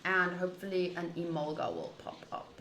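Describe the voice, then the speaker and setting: A woman streamer chatting casually to viewers during a game playthrough, in an animated, opinionated and wandering way, often rephrasing mid-thought. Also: on the low side, fairly quick. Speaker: streamer playing a visual novel. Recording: echoey room, faint background noise.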